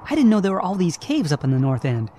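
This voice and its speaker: A man's voice: high voice, quick pace. Young hedgehog hero, male actor: swooping intonation, slangy, cocky, defensive; radio drama, faint noise. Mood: musing. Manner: casual, slangy, animated. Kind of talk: amateur radio drama acting.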